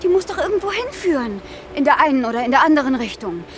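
A woman's voice: high-pitched, very animated, quick pace. A woman playing an anxious, insistent stranded woman in a radio crime drama, urgent and questioning. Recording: noisy outdoor ambience behind voice.

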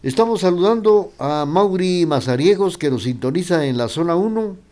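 A man hosting a radio music program, talking in an unhurried way with frequent hesitations and fillers. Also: high voice, lively intonation, darkish tone. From Guatemala. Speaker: radio host.

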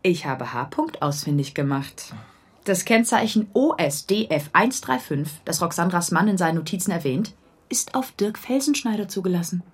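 A woman playing a cheeky, unruffled police assistant in a radio crime drama, husky-voiced, pert and dry. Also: on the low side, expressive, moderate pace.

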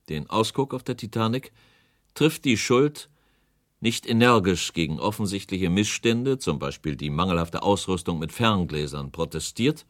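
A grave inquiry speaker in a radio documentary drama. His low voice moves widely in pitch as he sets out formal, accusing findings in a declamatory way. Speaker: presiding narrator.

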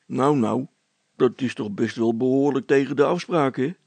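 Inventor for a storybook, played by a male reader: good-natured but wary, husky, plain and hesitant in speech. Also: mid-range voice, normal speed, darkish tone, dry recording. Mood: reproachful.

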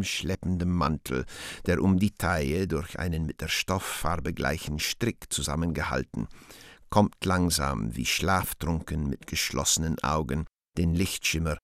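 A man reading stage directions as narrator of a radio play, in a measured, solemn way. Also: rumbling low, fairly bright tone, dry recording, clean studio sound.

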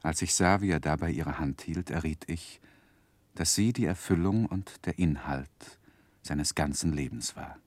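An actor playing an earnest, reflective gentleman who recounts his boyhood as narrator of a 1960s German radio drama, with careful, tender phrasing. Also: extremely low pitch, unhurried, dry recording.

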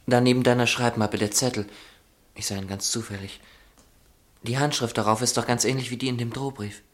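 An actor playing a guarded, ironic young upper-class son in a radio drama, with a clipped, dryly teasing way of talking. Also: male voice, mid-range voice, fairly even intonation, brisk, lightly acted. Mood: uneasy. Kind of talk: German radio drama acting.

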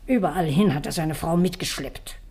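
Husky, hard, elderly housekeeper. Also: female voice, deep voice, moderate pace, warm tone. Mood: resentful. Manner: curt, embittered. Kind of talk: radio play acting.

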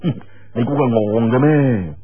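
A man voicing a young swordsman in Cantonese, earnest and sharp-witted, musing aloud with scoffing interjections. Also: mid-range voice, measured pace, slightly rough. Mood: scornful musing. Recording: muffled narrow-band radio-like sound.

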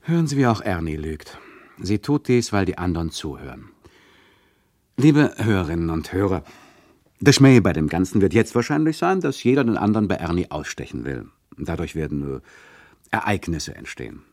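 An actor playing an ironic, chatty radio play narrator who lectures the listeners in a mock-didactic, hesitant way. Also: male voice, low-pitched, very animated, normal speed, darkish tone, dry recording, clean studio sound, full character voice. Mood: wry.

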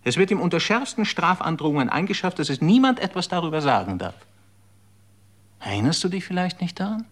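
A worldly, condescending know-it-all in a radio play, with a voice of middle pitch. He lectures with a lively, rising and falling melody. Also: fairly quick, full character voice. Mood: lecturing. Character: worldly man explaining the rules of a strict society. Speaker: radio drama actor. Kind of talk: German radio play acting.

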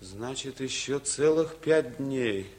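An actor playing an earnest, polite village brigade leader in a 1950s radio drama, inclined to argue. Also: male voice, medium pitch, expressive, slow pace, a little mellow.

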